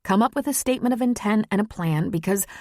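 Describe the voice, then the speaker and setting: A female narrator plays an earnest woman lawyer in love, her voice lifted higher and openly emotional. It is a candid audiobook character in a dry recording. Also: quick pace, a little mellow, no echo, close to an everyday voice. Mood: urgent, pleading.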